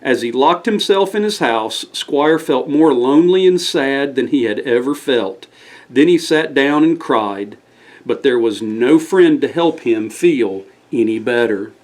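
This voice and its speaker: A man's voice: medium pitch, animated, a little slow. A man reading a scripted bedtime story aloud as the storyteller.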